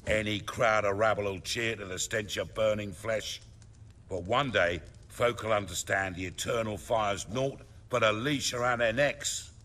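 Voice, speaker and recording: An actor playing a confident, scheming middle-aged underworld boss in a fantasy video game, speechifying in measured, colloquial English. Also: male voice, on the low side, steady tone, fairly bright tone. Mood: scornful, fervent. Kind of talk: dramatic video game dialogue.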